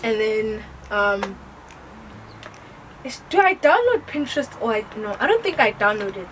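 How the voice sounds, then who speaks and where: A woman's voice: mid-range voice, sing-song, a little slow, a bit bright, slight background noise, rough recording. A teenage girl chatting casually on a couch with her father, rambling with lots of fillers and giggles. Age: teens. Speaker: daughter on a couch chat. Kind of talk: casual chat. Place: living room, on a couch.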